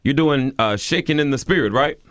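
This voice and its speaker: A man hosting a gospel call-in radio show, animated and teasing with callers. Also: medium pitch, dry recording, clean studio sound. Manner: animated, teasing, quick to laugh. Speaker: radio host.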